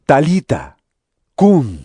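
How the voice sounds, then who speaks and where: A man playing an authoritative teacher who gives solemn, measured commands in a scripture audio drama. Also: fairly high pitch, wildly swooping pitch, mellow, breathy edge, no room sound, exaggerated voice. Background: music.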